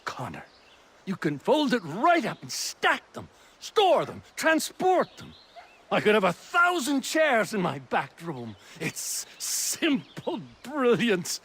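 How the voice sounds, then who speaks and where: An excitable colonial inventor from a video game. His voice is high and husky, swinging widely as he proudly stretches out his phrases with theatrical relish. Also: heavily acted. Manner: theatrical, emphatic, drawn-out phrases. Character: excitable, boastful colonial inventor, adult man. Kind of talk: video game character acting.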